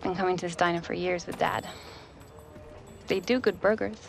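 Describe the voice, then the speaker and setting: A woman's voice: fairly low pitch, steady tone, normal speed, gravelly, soft background music. A young woman playing an upbeat, loyal teenage girl soccer player in a naturalistic video game cutscene. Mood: upbeat, chatty. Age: young adult.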